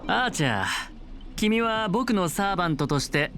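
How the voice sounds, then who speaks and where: High-voiced, fast, sly young schemer. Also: male voice, lively intonation, over music. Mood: sly, coaxing. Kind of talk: Japanese game voice acting.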